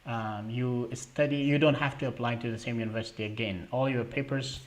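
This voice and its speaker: A young man hosting a live question-and-answer stream, reading viewer questions aloud and answering in a halting way with many fillers. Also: medium pitch, fairly even intonation. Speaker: livestream host answering scholarship questions.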